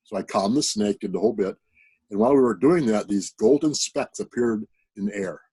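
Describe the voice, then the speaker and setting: Low, husky man telling a story. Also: fairly quick, dark tone. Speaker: participant sharing a story. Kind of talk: personal storytelling.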